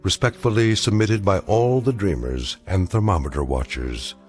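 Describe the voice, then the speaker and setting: An actor playing a grave, philosophical narrator of uncanny tales, giving scripted dramatic narration in a measured, portentous manner. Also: male voice, deep voice, faint music behind, close to an everyday voice. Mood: wry, ominous.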